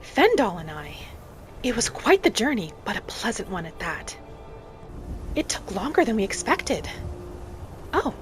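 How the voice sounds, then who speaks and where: A woman voicing a polite, curious female wood elf traveler, musing aloud in gentle, slightly formal game roleplay. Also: medium pitch, sing-song, measured pace, pauses often. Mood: pleased. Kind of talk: in-character game roleplay commentary. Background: faint music.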